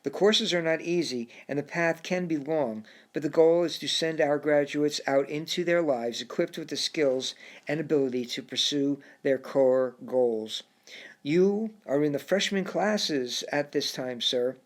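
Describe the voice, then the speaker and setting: A man voicing a calm, courteous male frog with an English accent, who speaks formally and keeps saying sir in an audiobook story. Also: on the high side, a little slow, close to an everyday voice. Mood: calm, instructive.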